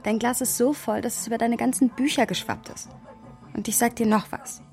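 A woman's voice: fairly high pitch, steady tone, brisk, a little mellow, soft background music, close to an everyday voice. A woman playing a young woman who narrates a radio play and teases her friend, wry and observant. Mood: teasing. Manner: wry, observant storytelling mixed with playful dialogue.

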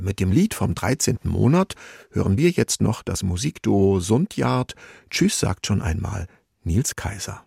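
A man presenting a scripted radio music programme as its host, with a gravelly, husky voice. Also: lowish voice, darkish tone, dry recording, clean studio sound.

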